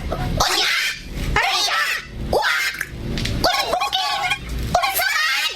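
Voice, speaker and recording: A woman's voice: extremely high pitch, very slow, very noisy, heavily acted. A woman voicing an eager talking crow in a radio fantasy drama, cawing out short single words. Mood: shrill, insistent. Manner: squawks short single words between caws. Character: shrill talking crow, eager informant to its mistress. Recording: loud music bed under the voice.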